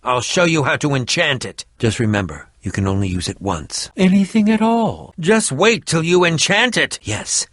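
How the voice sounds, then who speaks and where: A man's voice: fairly high pitch, animated, moderate pace, hardly any pauses, a bit bright, dry recording. Dramatic middle-aged male game schemer, snooty and defensive, voice jumps all over, really dry sound. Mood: scheming, explanatory. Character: eccentric theatrical middle-aged male potion-brewing schemer. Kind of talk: video game character dialogue.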